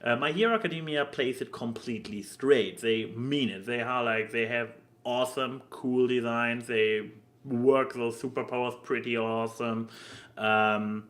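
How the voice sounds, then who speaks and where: Slow-paced man in halting chat. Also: medium pitch, fairly bright tone. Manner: halting, stammering, searching for words. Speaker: podcast co-host. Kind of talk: casual podcast chat about anime.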